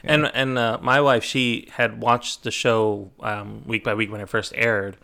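A man's voice: fairly low pitch, level tone, unhurried, vocal fry, bright, forceful tone. A man in his thirties hosting a podcast, rambling and correcting himself as he chats casually. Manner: rambling, self-correcting, chatty. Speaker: podcast host. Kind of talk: casual chat.

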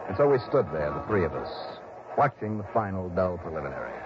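A man's voice: sits low, very animated, quick pace, loud music behind the voice. An actor playing a tough, earnest investigator with an American accent, narrating his case in clipped, intense 1960s radio style. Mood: grim. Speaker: star and narrator. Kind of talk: dramatic first-person 1960s radio narration. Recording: noisy, muffled old radio recording.

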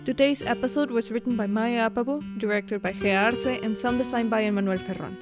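A woman's voice: fairly high pitch, brisk. A woman reading scripted end credits as the announcer of an audio drama podcast. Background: guitar music.

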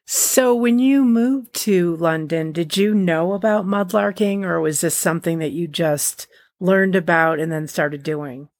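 A woman hosting an interview, excitable and sometimes stumbling over her words. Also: lowish voice, unhurried, dry recording. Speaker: podcast host.

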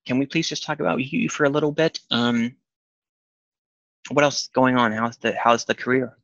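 An actor playing a weary, troubled man who talks haltingly in naturalistic audio drama dialogue. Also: mid-range voice, a bit bright, no echo, dull audio, close to an everyday voice. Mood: weary, hesitant. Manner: hesitant, halting. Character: weary, troubled man seeking comfort from a friend.

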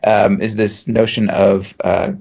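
A man, a professor co-hosting a podcast, explaining ideas in a measured way with dry humour. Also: on the low side, little pitch movement, gravelly, darkish tone, muffled recording. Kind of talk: explanatory chat.

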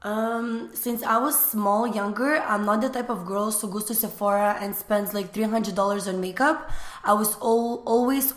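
A young woman from Lithuania, chatting casually as an interview guest about her small business, with lots of fillers. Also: medium pitch. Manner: relaxed, chatty, many fillers. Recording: echoey room.

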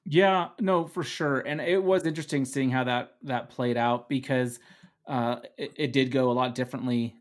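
A man from the Netherlands, co-hosting a casual sports podcast, easygoing and quick to laugh. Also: mid-range voice, fairly even intonation. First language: Dutch. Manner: easygoing, laughs often, repeats words. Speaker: co-host.

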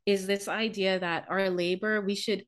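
A woman's voice: mid-range voice, flat delivery, moderate pace. Earnest, reflective woman from the Bahamas. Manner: earnest, reflective, laughs easily. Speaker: business coach. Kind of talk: podcast interview guest.

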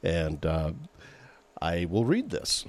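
Lively low-voiced man with a Maine accent. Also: slow. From Maine, USA. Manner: chatty, rambling, reads listener messages aloud. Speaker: podcast host. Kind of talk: casual solo podcast talk.

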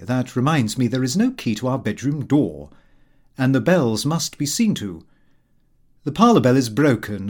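A man's voice: medium pitch, a little mellow. A man voicing a prim, pompous, middle-aged Victorian city clerk reading his diary. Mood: prim, mildly put out. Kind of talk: audiobook narration of a comic diary.

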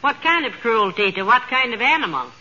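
A woman's voice: fairly high pitch, animated, a little fast, pushed and bright. A woman playing a sensible, wry wife who teases her husband in a 1940s radio comedy. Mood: curious. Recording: noisy, muffled 1940s radio recording, studio audience. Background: studio audience.

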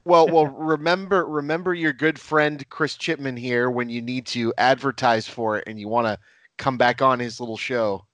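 A man in his thirties hosts a podcast, leaning into the conversation with eager enthusiasm and tripping over his first words. His voice sits at a middle pitch and sounds close and dry. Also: steady tone, moderate pace, fairly bright tone, no room sound. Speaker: podcast host. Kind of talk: casual interview.

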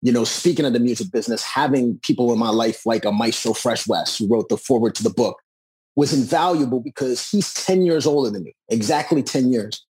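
A man's voice: medium pitch, a little fast, a little mellow, slightly rough, poor audio. A man, talking reflectively and earnestly as a musician in a conversational interview. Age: 40s. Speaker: musician and author being interviewed.

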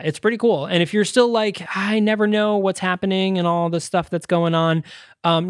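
A man's voice: high-pitched, no room sound, studio quality. A man co-hosting a podcast, chatting casually and plugging things in an upbeat, informal way. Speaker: podcast co-host. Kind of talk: casual promotional podcast chat.

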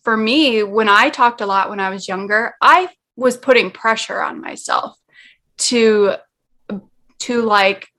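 A woman's voice: fairly high pitch, a little slow, bright, forceful tone. A woman guest in casual conversation, talking earnestly and rambling with lots of fillers. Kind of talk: casual chat.

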